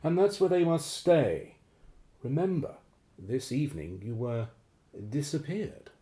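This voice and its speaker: Male actor for secretive radio-play defector: courteous, hesitant, uneasy man with a soft-edged tone and swooping melody. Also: on the high side, sing-song, a little slow. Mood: confessional, uneasy. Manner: hesitant, formal, well-spoken. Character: secretive male operative betraying his shadowy organization, uneasy. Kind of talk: radio drama acting.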